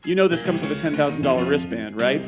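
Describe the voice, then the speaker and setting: A man's voice: fairly high pitch, lively intonation, fast, over music. A man hosting a live show, reading out the credits in a projected, emphatic sign-off. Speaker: host and announcer reading credits.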